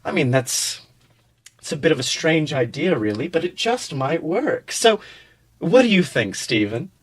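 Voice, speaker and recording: A man voicing a suave, smirking high school host club leader in a theatrical fan fiction reading, smooth and given to dramatic pauses. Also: very animated. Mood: musing.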